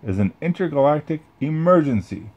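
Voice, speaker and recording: A man's voice: on the high side, lively intonation, fairly quick, lo-fi sound, a little hiss or hum, pitched above the performer's own voice, lightly acted. A man voicing a bold, self-serious male space ranger toy with commanding, dramatic declarations.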